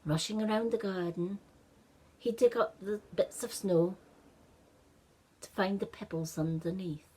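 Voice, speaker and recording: A woman narrating a children's story expressively, with hushed wonder and dramatic pauses. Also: medium pitch, breathy edge, rough recording.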